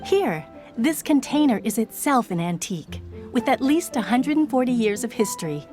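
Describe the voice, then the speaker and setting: A woman's voice: a little high, sing-song, moderate pace, over music. A woman playing a polite, helpful shop owner in a video game, speaking in a composed manner. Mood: gracious.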